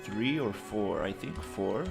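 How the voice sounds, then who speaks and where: A man's voice: on the low side, expressive, takes it slowly, vocal fry, over loud music. A man chatting casually on a live stream while he models in 3D, rambling with lots of fillers. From Costa Rica. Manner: laid-back, rambling, many fillers, muttering through his work.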